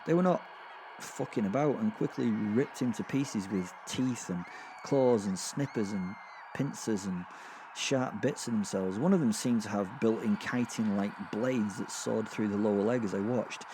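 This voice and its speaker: A man's voice: lowish voice, normal speed, background noise, close to an everyday voice. A man playing a sadistic, gleeful werewolf killer, narrating a horror audio drama in a rambling, conversational way full of hesitant, amused understatement. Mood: fascinated, rambling.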